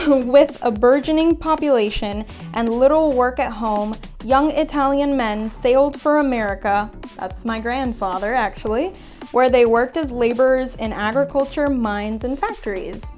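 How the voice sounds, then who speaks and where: A woman's voice: fairly high pitch, unhurried, a little mellow, faint music behind. A young woman from Puerto Rico, chatting casually and thinking aloud as she reads out her test results. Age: young adult. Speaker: vlogger. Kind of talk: casual reading and commentary on DNA results.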